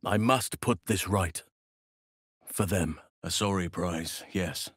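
A solemn male elf druid from a fantasy video game. His voice is on the low side and he speaks in formal, measured phrases, as an earnest protector of nature. The recording is dry, with no room around it. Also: fairly bright tone, breathy edge, dry recording, heavily acted. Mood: solemn, sorrowful. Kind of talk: scripted game voice lines.

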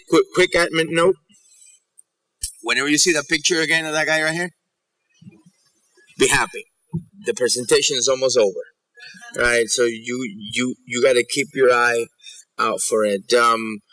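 Guy in his 40s doing a keynote talk on stage, bright forceful voice, kinda halting and informal. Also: mid-range voice, poor audio. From South Bronx, New York and Ecuador. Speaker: keynote speaker. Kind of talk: conference presentation. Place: auditorium stage at a podium.

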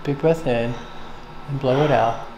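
Calm older man coaching, echoey clinic. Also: mid-range voice, a little slow. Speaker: chiropractor. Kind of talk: hands-on treatment talk. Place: bright clinic room. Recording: echoey room with clear background noise.

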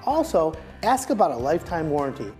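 A man's voice: big pitch swings, fairly quick, dark tone, soft background music. A middle-aged man, a home improvement expert, giving an upbeat product demonstration as a guest on a TV talk show, on a studio set with a lapel mic. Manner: upbeat, pitching and pointing at samples.

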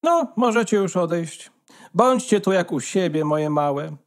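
A woman's voice: fairly low pitch, lively intonation, unhurried. A woman playing a haughty, disdainful old duck, a condescending grand lady in a fairy-tale radio play. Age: older. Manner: condescending, drawling.

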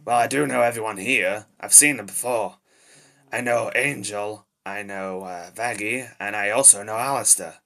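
A man voicing a short-tempered demon devil with bat-wing ears in amateur character acting, with a raspy voice and an irritable, flustered, blurting manner. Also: on the low side, normal speed, pushed and bright. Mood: awkward, offhand. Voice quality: raspy, gravelly.

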